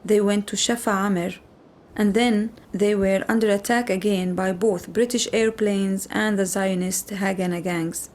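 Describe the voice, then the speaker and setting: Measured woman narrating her life story. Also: medium pitch, fairly even intonation, darkish tone. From Lebanon. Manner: measured, plain-spoken testimony. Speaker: documentary narrator. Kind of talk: personal documentary narration.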